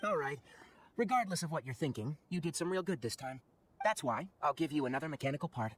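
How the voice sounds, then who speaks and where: A man playing a knowledgeable young forest ranger in a video game, articulate, brisk and wry as he explains things. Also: fairly high pitch, lively intonation. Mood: wry, curious. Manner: articulate, explanatory, matter-of-fact. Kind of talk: video game character acting.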